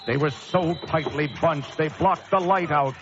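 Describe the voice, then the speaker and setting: A man's voice: a little high, measured pace, background noise, lightly acted. An actor playing a haunted lighthouse keeper who narrates his ordeal with brooding suspense in a vintage radio thriller. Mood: tense. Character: haunted male lighthouse keeper recounting horror. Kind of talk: dramatic first-person radio thriller narration. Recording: dull vintage radio recording with music.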